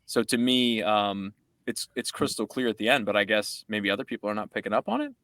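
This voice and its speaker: A man's voice: on the low side, lively intonation, brisk, fairly bright tone, dry recording, studio quality. A man, a musician guest, chatting casually and rambling upbeat about making a song. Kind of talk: casual chat.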